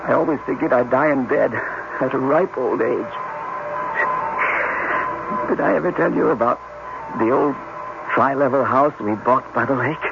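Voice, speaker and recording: A stranded scientist, anxious and convinced of doom. He speaks in a mid-range voice with a brooding, pleading manner. The old radio drama sounds dull and lo-fi, with loud music underneath. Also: mid-range voice, unhurried, creaky, long pauses. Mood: groggy, despairing. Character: anxious, fatalistic male scientist stranded in space.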